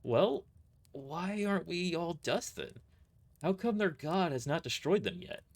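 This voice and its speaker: An incredulous mercenary from a sci-fi audio drama, acted by a man, pitched up from a normal male register. He questions everything bluntly, sounding startled and skeptical. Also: expressive, measured pace.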